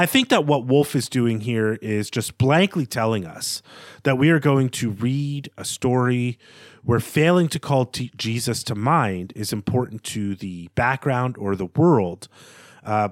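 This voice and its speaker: A man co-hosting a literary podcast, talking through analysis in a measured, scholarly way with halting phrasing. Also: mid-range voice, lively intonation, no echo, studio quality. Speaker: podcast co-host.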